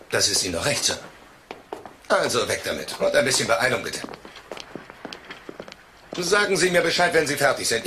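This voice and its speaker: Efficient, eager cop in a radio mystery; clipped formal reports, animated intonation, faint noise behind. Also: male voice, on the low side. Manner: brisk, formal, officious. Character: officious, eager male police officer, formal and efficient. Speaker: police officer reporting forensic findings. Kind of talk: German crime radio drama acting.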